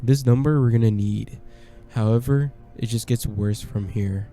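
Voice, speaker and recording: A man's voice: medium pitch, a little slow, dark tone, faint music behind. A man giving casual voice-over commentary on a horror game, talking in a plain, conversational and slightly hesitant way. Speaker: gameplay commentator.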